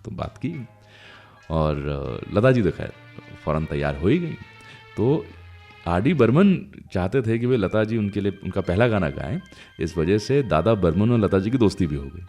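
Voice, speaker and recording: A man hosting a radio music show, telling stories in a chatty, conversational way with dramatic pauses and emphasis. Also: sits low, big pitch swings, a little mellow.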